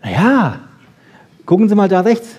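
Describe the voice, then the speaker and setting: Guy doing a pop science lecture, kinda high but mellow n husky, dry humor, keeps asking questions. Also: sing-song, normal speed. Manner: wry humour, rhetorical questions, frequent "ja?" tags. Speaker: lecturer on brain research. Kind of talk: lively popular science lecture to an audience.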